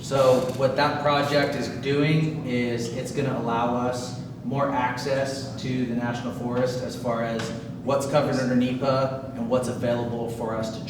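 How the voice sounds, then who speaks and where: A man giving a fire management update at a community town hall, talking in a halting way with many ums and restarts. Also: mid-range voice, level tone. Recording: echoey hall, distant, noisy.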